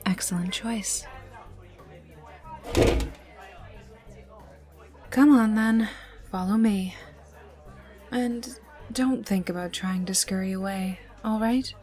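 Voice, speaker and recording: A woman playing a menacing, teasing young enforcer for a crime boss in an ASMR roleplay, taunting the listener in a breathy voice that dips toward whispers. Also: mid-range voice, a little slow, mellow, some chatter behind, lightly acted. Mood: cocky threat. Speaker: voice actor in audio roleplay. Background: ambient music and mild sound effects.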